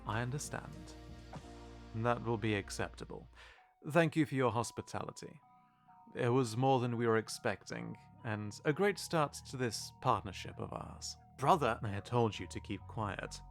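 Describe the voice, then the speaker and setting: A man playing a polite, diplomatic mafia twin in an audio roleplay, speaking formally and with measured courtesy. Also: mid-range voice, creaky, soft background music, lightly acted. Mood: gracious, courteous, then stern.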